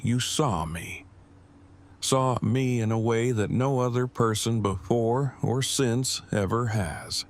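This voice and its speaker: Guy doing bookish awkward groom for an audio drama, lowish voice, stiff wordy careful delivery but sincere. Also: lightly acted. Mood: tender, sincere. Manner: stiff, measured, verbose, deliberate phrasing. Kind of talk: fan audio drama acting.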